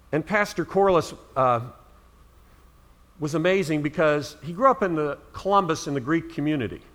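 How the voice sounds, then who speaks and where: A man's voice: a little high, sharp tone. A middle-aged man from Ohio preaching a sermon as pastor, earnest, with emphatic rises on key words.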